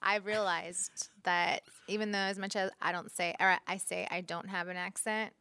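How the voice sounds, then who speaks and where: A woman's voice: mid-range voice, fairly even intonation, normal speed, pushed and bright, smooth, dry recording. A woman from Texas with a Texan accent, a playful, teasing guest on a casual podcast.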